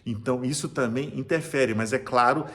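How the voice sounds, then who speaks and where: A man's voice: mid-range voice, steady tone, moderate pace, a bit bright. A man with a Brazilian Portuguese accent, a cruise travel agent chatting enthusiastically and in a salesy way while giving tips, often restarting his sentences. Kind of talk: casual tips vlog to camera.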